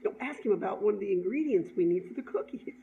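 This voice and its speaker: A woman's voice: mid-range voice, expressive, fast talker, creaky. A woman voicing a bossy, easily embarrassed teenage daughter in a story, insistent and pleading. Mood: urging. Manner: insistent, pleading, repeats orders. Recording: dull lo-fi sound, background noise.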